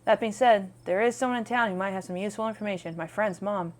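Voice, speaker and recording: A woman's voice: mid-range voice, quick pace, lightly acted. A woman voicing an eager young adult woman who investigates strange creatures in a video game, casual, plain-spoken and earnest. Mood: determined.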